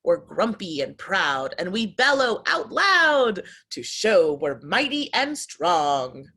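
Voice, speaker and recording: A woman's voice: on the low side, big pitch swings, slow pace, pushed and bright, husky, exaggerated voice. A woman voicing a grumpy, proud walrus in a boastful, emphatic way for a children's storybook. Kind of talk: children's picture-book read-aloud.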